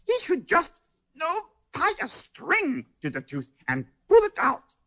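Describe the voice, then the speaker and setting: A woman playing an earnest, worried, outspoken young male listener at story time, husky and emphatic. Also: high-pitched, wildly swooping pitch, a little slow, a bit bright, full character voice. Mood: worried, interrupting.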